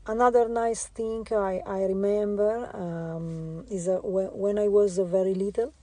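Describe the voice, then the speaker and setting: A woman with an Italian accent, a visual artist answering interview questions in a halting way with many filler sounds. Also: mid-range voice, steady tone, warm tone, no room sound. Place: radio studio.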